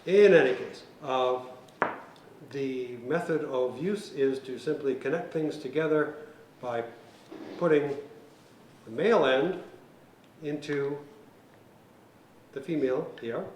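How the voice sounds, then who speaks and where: A man giving an informal hands-on technical tutorial as an instructor, speaking deliberately and methodically with pauses mid-sentence. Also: mid-range voice, darkish tone. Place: indoor set room by a theater stage. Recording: roomy echo with faint background noise.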